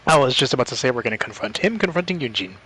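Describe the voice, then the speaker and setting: Male co-commentator, medium pitch, relaxed wording, casual let's-play chat. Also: very fast, husky.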